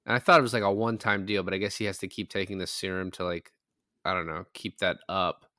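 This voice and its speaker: Man, bright forceful voice, dry close sound, casual film talk, opinionated, sweary. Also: low voice, fairly even intonation, fast, no room sound. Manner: opinionated, profane, emphatic. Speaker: film podcast panelist.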